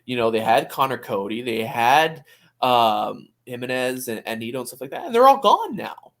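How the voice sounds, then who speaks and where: A man's voice: mid-range voice, big pitch swings, moderate pace, fairly bright tone. A man co-hosting a sports podcast in casual, opinionated conversation, often restarting his phrases. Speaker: soccer podcast co-host.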